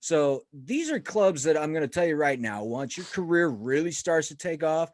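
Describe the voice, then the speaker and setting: A man's voice: on the high side, very animated, moderate pace, no room sound, studio quality. A man hosting a casual interview show, upbeat and chatty as he asks questions. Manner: upbeat, chatty, laughs a lot. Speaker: podcast host.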